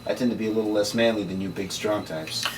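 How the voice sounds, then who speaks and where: A man's voice: on the low side, steady tone, quick pace, natural voice. A man playing a sardonic, world-weary noir occult investigator with slangy delivery in a script table read. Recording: distant mic, noisy room.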